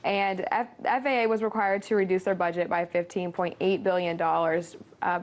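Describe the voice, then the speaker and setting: A woman's voice: fairly low pitch, fairly even intonation, moderate pace. A woman giving matter-of-fact, detailed commentary as a financial analyst on a news panel.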